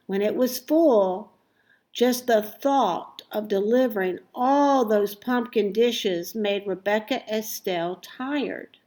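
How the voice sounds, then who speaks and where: A woman reading a children's story aloud expressively, with deliberate, emphatic phrasing and dramatic pauses. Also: medium pitch, warm tone. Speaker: storybook reader.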